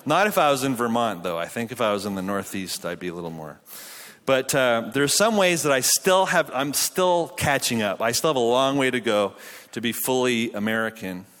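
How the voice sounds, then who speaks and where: A man's voice: mid-range voice, expressive, moderate pace, a bit bright. Canadian man preaching in a reverberant hall. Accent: Canadian English. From Quebec, Canada. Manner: joking, self-deprecating, informal. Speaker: pastor. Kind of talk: conversational sermon to a live audience. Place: large hall, speaking to an audience.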